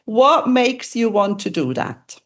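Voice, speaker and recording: A woman's voice: fairly high pitch, very animated, slow pace, a bit bright. A woman hosting an interview podcast who talks in an effusive way and heaps praise on her guest. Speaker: podcast host.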